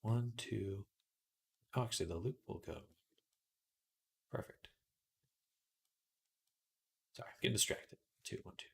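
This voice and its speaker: A man's voice: on the low side, measured pace, vocal fry, pauses often. A man hosting a livestream in casual tutorial style, muttering to himself as he works and chatting with viewers. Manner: mutters to himself, then addresses viewers brightly. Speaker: livestream host, 3D artist. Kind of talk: casual livestream tutorial chat while working. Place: indoors at a computer desk.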